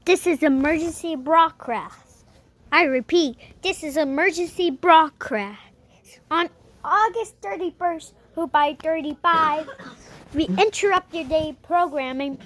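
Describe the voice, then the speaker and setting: A woman with an extremely high voice makes a mock emergency broadcast announcement. She speaks slowly and haltingly, breaking up her phrases and repeating them as she goes. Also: fairly bright tone, no room sound.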